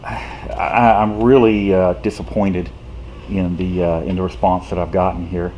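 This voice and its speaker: A man, a citizen activist, talking casually to the camera in a frustrated, rhetorical way, halting often with fillers. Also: deep voice, little pitch movement, a little mellow, highs cut off, slight background noise.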